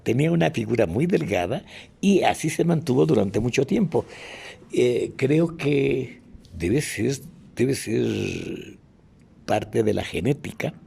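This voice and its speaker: A man in his eighties with an Ecuadorian accent reminisces in an interview, haltingly, pausing to search for names. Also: medium pitch, vocal fry, a little mellow, husky. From Otavalo, Ecuador. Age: 80s. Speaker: interviewee, veteran radio announcer and actor.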